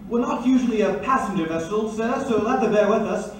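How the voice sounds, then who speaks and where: A man's voice: high-pitched, fast talker, natural voice. A man playing a deferential, chatty ship's crewman in an old-time radio drama, polite and helpful. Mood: polite, obliging. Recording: echoey room, distant, faint background noise.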